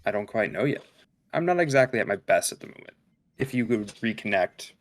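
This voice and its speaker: A man reading the part of a cold, calculating, damaged android in a script table read, measured and precise. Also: on the low side, very animated. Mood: cool, evasive.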